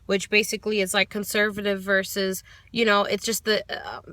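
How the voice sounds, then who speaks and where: A woman's voice: medium pitch, flat delivery, normal speed, pushed and bright, dry recording. A young American woman giving a casual book review from her car, thoughtful and hesitant, with many ums and restarts. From United States. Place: parked car.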